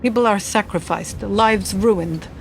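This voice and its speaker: An actress playing a cool, teasing, world-weary Polish woman spy in a radio drama, speaking in a measured, formal way. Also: mid-range voice. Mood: defiant, weary. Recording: clearly noisy background.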